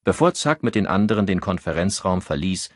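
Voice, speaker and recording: Low, husky male audiobook narrator. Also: sing-song, a little fast, no echo, clean studio sound. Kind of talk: scripted crime novel narration.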